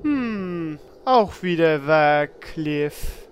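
A woman acting a timid, slow-thinking, hesitant adventurer in a comedic fantasy audio drama. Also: deep voice, animated, a little hiss or hum, exaggerated voice. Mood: unsure. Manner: hesitant, stammering.

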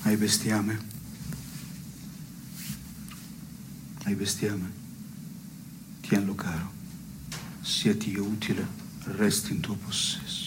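An actor playing a melancholy, self-ironic man in a radio theatre piece, speaking in a hushed, deliberate, literary way. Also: on the low side, level tone. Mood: resigned, ironic. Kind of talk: avant-garde radio theatre dialogue. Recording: noisy recording with chirping cricket sounds.

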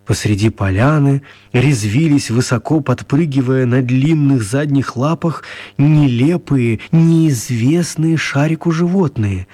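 A man narrating a children's radio play as an expressive storyteller, with theatrical, deliberate phrasing. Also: medium pitch, dry recording.

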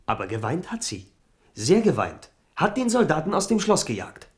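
A man's voice: a little high, wildly swooping pitch, moderate pace. An actor playing a watchful, blunt servant of a king in a German radio drama. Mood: insistent.

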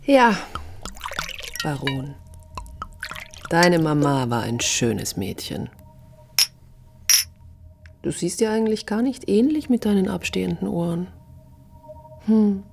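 An actress playing a coarse, teasing village prostitute in a radio drama, with a husky, drawling, chuckling delivery. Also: female voice, fairly low pitch, expressive, slow pace, pauses often, a little mellow, exaggerated voice. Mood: teasing, lewd. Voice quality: husky, breathy. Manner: drawling, taunting, breaks into chuckles and half-whispers.

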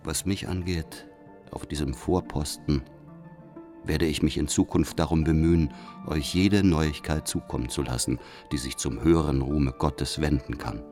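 An actor playing a solemn, scheming letter writer from sixteenth-century Germany, speaking in formal, measured rhetoric. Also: male voice, rumbling low, steady tone, darkish tone, faint music behind. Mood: devout, earnest. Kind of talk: literary radio drama monologue, reading a letter.

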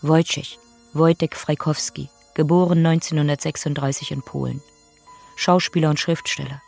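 A woman's voice: low-pitched, steady tone, normal speed, creaky, a little mellow, soft background music. A woman narrating victims' profiles in a radio play, in a clipped, factual, sober manner.

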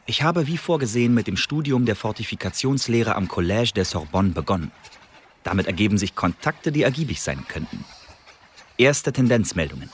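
Ein Schauspieler spielt im Hörspiel einen englischen Spion, der als Student in Paris knapp und sachlich Bericht erstattet. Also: male voice, on the low side, a little hiss or hum, lightly acted. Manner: clipped, military report phrasing. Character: young male English spy studying in Paris, brisk, loyal. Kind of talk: radio drama, dictated report.

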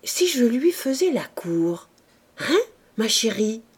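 A coarse, scheming middle-aged man, as a woman reader puts him on, sly and eager, with lively swings in pitch. His talk stays slow and deliberate. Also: breathy edge, heavily acted.

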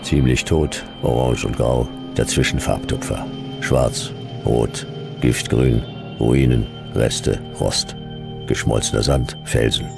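A laconic private eye narrating a science-fiction radio play. The voice is extremely low and gravelly, delivered slowly in clipped deadpan phrases. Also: male voice, over music, exaggerated voice. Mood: terse, brooding. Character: laconic, hard-boiled male detective narrator in sci-fi desert. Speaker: narrator and lead actor. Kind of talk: noir first-person radio drama narration.

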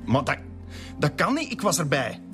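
An actor playing a grieving, defensive Flemish father in a radio drama, speaking in a halting, pleading way. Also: on the high side, big pitch swings. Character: grieving, defensive young father hiding a secret. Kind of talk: naturalistic radio drama acting. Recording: music behind the voice.

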